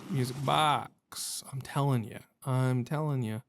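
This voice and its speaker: A man's voice: mid-range voice, a little slow. A man doing casual let's-play commentary as a gaming host, joking and self-deprecating in slangy talk.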